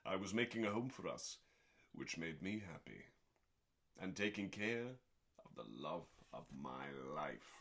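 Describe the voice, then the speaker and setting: A man reading aloud as host in a calm, dramatic bedtime style, with deliberate theatrical pauses between short phrases. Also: deep voice, vocal fry. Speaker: host and reader. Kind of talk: dramatic, calming audiobook-style cookbook reading.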